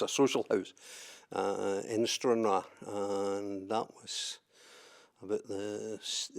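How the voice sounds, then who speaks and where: Gravelly older Scottish man, low voice. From southwest Scotland. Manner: hesitant, many fillers and repeated words. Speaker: interviewee, trade unionist. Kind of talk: oral history interview.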